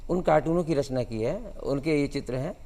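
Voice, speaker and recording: A man in his sixties giving interview answers as a guest, speaking in a measured, halting way. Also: medium pitch, warm tone. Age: 60s. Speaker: guest cartoonist. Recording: long hall-like reverb.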